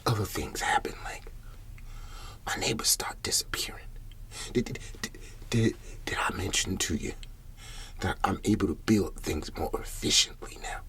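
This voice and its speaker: A man playing a paranoid, troubled older Southern farmer in an ASMR roleplay, whispering haltingly and repeating his words as he rambles. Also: sits low, pushed and bright. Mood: uneasy, confiding. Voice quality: breathy whisper.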